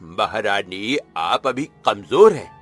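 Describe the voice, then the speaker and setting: A man voicing a loyal, anxious warrior chief in an animated story, dramatic and hesitant. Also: fairly low pitch, takes it slowly, soft background music, heavily acted. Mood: concerned.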